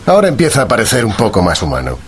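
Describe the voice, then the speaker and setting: An actor playing a stern, sardonic detective in a radio drama, commanding and measured, with a husky voice. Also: male voice, lowish voice, huge pitch swings, slight background noise. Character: stern, sardonic male detective questioning a culprit.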